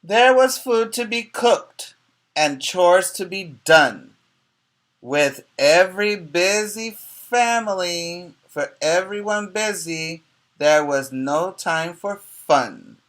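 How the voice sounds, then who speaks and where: A man's voice: high voice, sharp tone. A man who is a preschool teacher, reading a storybook aloud expressively to young children and explaining it with questions and emphasized key words.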